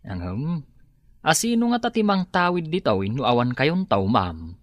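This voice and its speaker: Man playing an animated, devout young Ilocano worker. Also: medium pitch, moderate pace, no echo, lo-fi sound, lightly acted. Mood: respectful. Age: 20s. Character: young male employee, practical, devout, respectful. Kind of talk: Ilocano radio drama acting.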